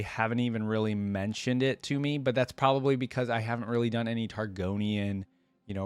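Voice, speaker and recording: A man's voice: mid-range voice, a little mellow, no room sound. A man hosting a reaction video with casual, rambling commentary, thinking aloud in an informal way.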